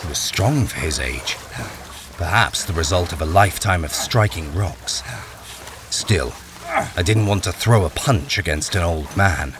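A man's voice: sits low, animated, measured pace, noisy background. An actor playing a haunted miner, narrating his ordeal in a brooding, suspenseful radio-drama style. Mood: uneasy. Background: music.

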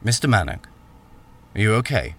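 An actor playing a wary, plain-spoken miner who tells his own story in a measured radio drama narration. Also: fairly low pitch, sing-song, fairly bright tone. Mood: guarded.

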